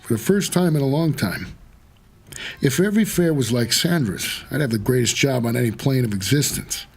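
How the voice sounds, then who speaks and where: Gravelly, world-weary noir cabbie. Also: male voice, mid-range voice, expressive, moderate pace. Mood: brooding, wry. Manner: hard-boiled, laconic, plain-spoken. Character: world-weary, wry male cab driver for the dead. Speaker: narrator and lead character. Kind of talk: noir audio drama narration and dialogue.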